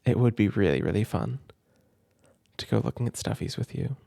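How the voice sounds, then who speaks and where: A young man doing gentle, affectionate ASMR roleplay, speaking softly and simply to a listener as if reading aloud at bedtime. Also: lowish voice, lively intonation, normal speed, creaky, dry recording. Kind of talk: soft intimate ASMR roleplay and storybook reading. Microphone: close microphone in a dry space.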